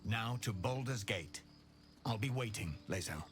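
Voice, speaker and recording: Game role: grave rebel warrior knight, formal and measured, lowish register, very unhurried. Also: male voice, expressive. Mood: grave, rallying. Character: solemn githyanki rebel knight, urgent alien revolutionary. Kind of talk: dramatic video game acting. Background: background music.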